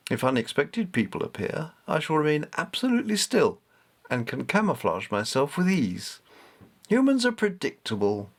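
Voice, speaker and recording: Man voicing a haughty magical female cat, higher-pitched. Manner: imperious, formal, condescending. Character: haughty magical female topiary cat goddess, self-assured.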